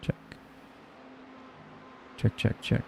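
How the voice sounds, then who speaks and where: A man with a quiet voice, streaming a sim race and chatting casually in a low-key, mumbly way while he tests his mic. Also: very slow, background noise.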